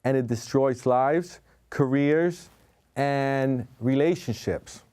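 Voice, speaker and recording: Guy hosting a little cable talk show, mid pitch, easygoing questions. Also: clean tone. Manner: slow dramatic delivery in the intro, then relaxed questioning. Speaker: host, hypnotherapist.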